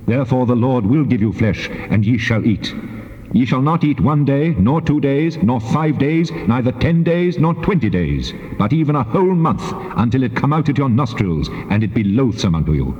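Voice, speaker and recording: A stern divine patriarch played by a male actor speaks with solemn command in a scripture drama. His voice is dark and mellow, declaiming through long reverberation on slightly dull tape. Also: medium pitch, close to an everyday voice. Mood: stern wrath. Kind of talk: dramatized scripture reading in archaic English.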